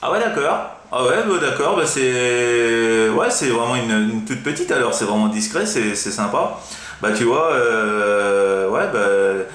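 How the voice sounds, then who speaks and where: A man's voice: on the low side, fairly bright tone. A man chatting casually over CB radio as an amateur operator, rambling and hesitant, often repeating himself. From France. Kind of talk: casual radio contact chat. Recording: roomy, echoing room sound.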